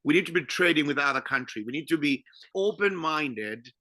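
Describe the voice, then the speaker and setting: Bright, forceful Zimbabwean man, dry sound. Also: on the high side, lively intonation, a little fast, dry recording. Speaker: podcast guest. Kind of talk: conversational opinion-giving.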